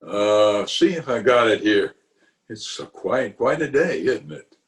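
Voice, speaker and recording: A man plays a pompous middle-aged uncle from an old radio comedy. The delivery is very slow and lively in pitch, full of blustery hesitations and muttering, grumbly yet kindly underneath. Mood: politely puzzled. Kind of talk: 1940s radio comedy acting.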